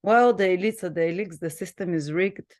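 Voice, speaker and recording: A woman from Bulgaria speaks in a Bulgarian accent with a somewhat low voice. She hosts a casual conversation and often breaks into soft laughs. She stumbles and restarts her sentences as she thinks aloud. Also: a little fast. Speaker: host.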